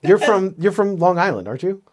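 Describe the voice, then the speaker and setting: A man's voice: high-pitched, very animated, brisk, crowd noise. A young man co-hosting a casual podcast, teasing and argumentative in friendly debate. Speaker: podcast co-host. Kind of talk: casual chat.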